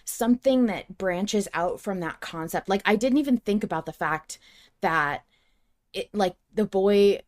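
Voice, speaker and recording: Casting: female podcast host, medium pitch, effusive and informal, chatting as a devoted fan. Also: animated, a little slow, darkish tone. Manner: effusive, gushing. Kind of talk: casual fan chat.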